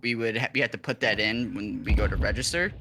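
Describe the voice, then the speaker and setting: A young man with a slightly low voice chats casually as he plays, pausing and restarting his sentences in a relaxed way. Steady noise and game gunshots come through behind him. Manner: relaxed, halting, restarts often. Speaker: guest player, army veteran. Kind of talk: casual chat while gaming. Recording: clear background noise, game gunfire.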